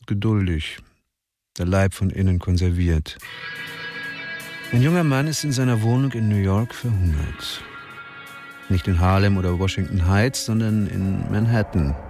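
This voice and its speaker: Deep man, measured crime narration, dark tone, soft music behind.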